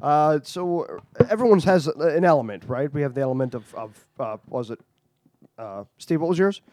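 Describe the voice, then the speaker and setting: A man's voice: on the high side, lively intonation, normal speed, a little mellow. A man hosting a casual podcast, laughing often and teasing his guests. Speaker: podcast host.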